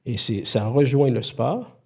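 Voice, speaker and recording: Husky Québécois man, warm voice. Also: medium pitch, unhurried. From Quebec. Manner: lively, animated storyteller, frequent restarts. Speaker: podcast guest, IT executive. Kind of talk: casual conversation.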